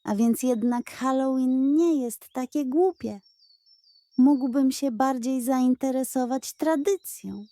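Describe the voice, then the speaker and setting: A grumpy little pug who boasts and scoffs, always thinking of food, as a woman puts him on. The voice sits higher than a plain speaking voice and moves slowly. Recorded dry and close for a children's audio story. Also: warm tone, no echo. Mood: boastful. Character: grumpy greedy little male pug dog.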